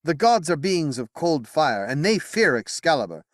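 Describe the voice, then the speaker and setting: A man's voice: fairly high pitch, very animated, moderate pace, no room sound, studio quality, voice pushed up. A man voicing a resolute young king who speaks firmly.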